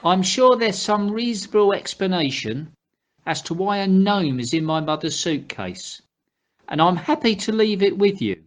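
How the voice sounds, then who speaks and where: A woman playing an exasperated middle-aged son who tries to keep calm, in amateur radio comedy. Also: mid-range voice, moderate pace, slight background noise, natural voice. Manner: placating, exasperated.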